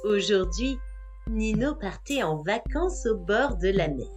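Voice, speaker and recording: A woman narrating a scripted story for young children, expressive and carefully phrased. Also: medium pitch, slow pace. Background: music.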